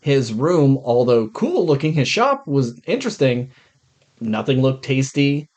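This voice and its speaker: A man's voice: medium pitch, very animated, unhurried, a little mellow. An American man co-hosting a podcast, casually reviewing films with blunt, jokey complaints. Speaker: podcast co-host. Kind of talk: casual film review chat.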